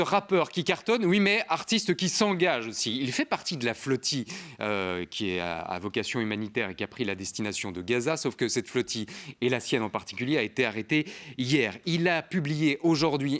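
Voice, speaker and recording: A man hosting a studio talk show, emphatic and lively as he presents. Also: medium pitch, fairly quick.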